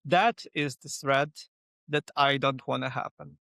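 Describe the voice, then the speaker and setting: A man whose first language is Ukrainian, speaking as an interview guest in a halting way, with many fillers and restarts. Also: medium pitch, steady tone, creaky, bright, forceful tone, no room sound, studio quality. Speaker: guest, localization company founder. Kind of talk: conversational interview.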